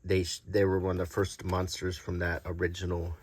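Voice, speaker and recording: A man presenting collectible cards in a casual, unscripted show-and-tell, halting and pausing often as he describes things. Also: low-pitched, completely flat delivery, a little hiss or hum. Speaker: host presenting vintage collectible game cards.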